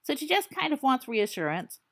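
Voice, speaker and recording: A woman's voice: high-pitched, sing-song, a little fast, fairly bright tone, voice pushed up, heavily acted. A woman voicing a cocky teenage boy who tells a spooky story in a theatrical, teasing way.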